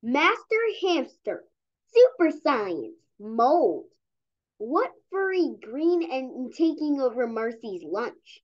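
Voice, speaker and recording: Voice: high-pitched, big pitch swings, takes it slowly. A child reading a children's comic aloud as storytelling, stumbling over words now and then. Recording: dull, lo-fi audio.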